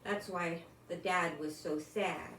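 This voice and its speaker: A woman's voice: on the low side, fairly even intonation, takes it slowly, fairly bright tone. An older woman reading a children's picture book aloud expressively, lingering on words and adding chatty asides as if to small children. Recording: roomy home recording with faint noise.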